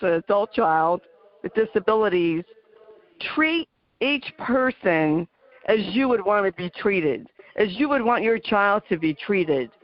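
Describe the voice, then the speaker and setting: A woman with a New Jersey accent hosting a talk radio interview, earnest and halting with many fillers. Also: mid-range voice, lo-fi sound. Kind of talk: casual interview chat.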